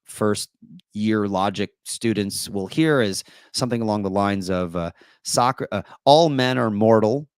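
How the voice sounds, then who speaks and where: A man hosting a live stream in a casual, chatty way, his voice still deep after he recently lost it. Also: a little slow, clean tone, dry recording, clean studio sound. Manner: chatty, with many fillers and restarts. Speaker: apologetics host and teacher.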